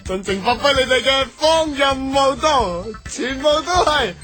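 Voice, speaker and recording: A man's voice: very high voice, animated, measured pace, music in the background. An actor playing a brash, cocky and playful young male company boss in a Cantonese radio drama. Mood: rousing, elated.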